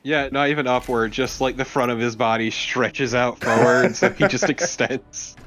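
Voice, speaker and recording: A man's voice: medium pitch, normal speed, fairly bright tone, soft background music. A man, a young adult, co-hosting game commentary with casual, wry, opinionated and sometimes profane banter.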